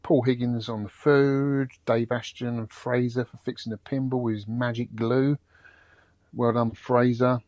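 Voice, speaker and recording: A British man co-hosts a podcast in a relaxed, easygoing way, giving shout-outs and reading listener comments. His voice sits in a middle register and sounds dry and close, with no room echo. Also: medium pitch, a little slow. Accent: British English. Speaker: podcast co-host.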